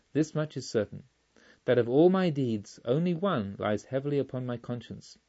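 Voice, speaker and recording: Audiobook robber chief, read by a man: quiet menace, remorse, formal measured delivery, slightly muffled sound. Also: mid-range voice. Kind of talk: audiobook storytelling.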